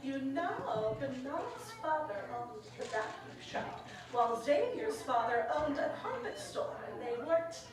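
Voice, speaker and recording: A woman's voice: high-pitched, big pitch swings, measured pace, few pauses, a crowd in the background. A woman playing a coy, haughty shop owner in a 1940s radio drama, with an airy, affected manner. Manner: airy, affected, calling people darling. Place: theater stage, live performance. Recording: echoey stage, distant mic, audience.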